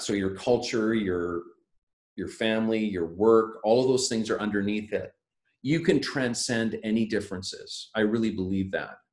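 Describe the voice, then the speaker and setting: A man's voice: mid-range voice, darkish tone. Earnest male church leader in a roomy hall. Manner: earnest, measured, sermon-like. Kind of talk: panel talk.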